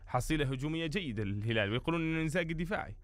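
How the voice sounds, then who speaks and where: A man co-hosts live football radio. He speaks very rapidly in a smooth, clean voice with a medium pitch. The recording is dry. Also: animated, no room sound. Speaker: sports radio co-host. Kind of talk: live football talk and news.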